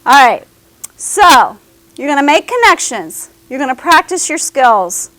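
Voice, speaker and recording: A woman's voice: high-pitched, very animated, measured pace, a bit bright, dry recording, low-quality recording. A woman giving a career services presentation to a class of students, talking to them directly and often checking in with "okay?". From American South.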